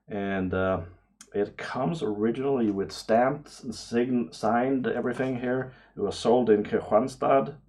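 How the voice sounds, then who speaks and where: A man giving casual unboxing commentary to camera, excitable and prone to awestruck exclamations and hesitations. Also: on the low side. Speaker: vlogger, radio amateur hobbyist.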